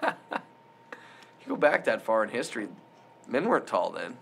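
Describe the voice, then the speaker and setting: A man's voice: fairly low pitch, big pitch swings, unhurried, creaky, fairly bright tone, slightly rough. A man from Montana co-hosting a podcast, chatting casually and teasing his friend with frequent laughs. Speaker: podcast co-host. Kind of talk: casual chat.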